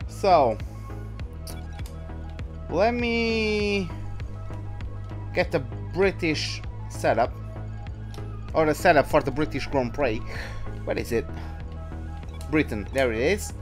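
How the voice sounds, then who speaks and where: A man's voice: mid-range voice, lively intonation, unhurried, long pauses, soft background music. A man giving casual, chatty commentary as he streams a racing game live. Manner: chatty, greets viewers by name mid-commentary. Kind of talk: casual livestream gaming commentary.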